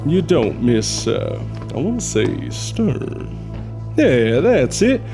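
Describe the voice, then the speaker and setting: A man's voice: on the high side, very animated, slow pace, music in the background, full character voice. A man playing a courtly but crotchety small-town mortician in an audio drama, with a Southern US accent and a folksy, hammy drawl. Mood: courtly, reassuring.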